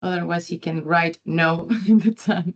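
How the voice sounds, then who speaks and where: A woman's voice: fairly low pitch, steady tone, normal speed. A woman moderating an online info session, friendly and a little hesitant. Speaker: event moderator. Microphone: video call. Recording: muffled video call audio.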